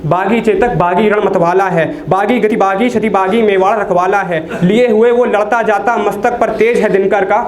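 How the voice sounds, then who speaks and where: A teenage man from India reciting poems at an open mic, declaiming each line and dropping low on a repeated refrain. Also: steady tone, moderate pace, hardly any pauses. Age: teens. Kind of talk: reciting Hindi poetry. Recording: echoey room with faint noise.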